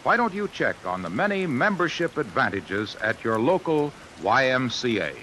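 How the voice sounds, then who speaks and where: A man's voice: medium pitch, very animated, normal speed, bright, forceful tone, poor audio. An American man reading 1950s radio advertising as a polished, emphatic commercial announcer. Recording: hissy old radio recording.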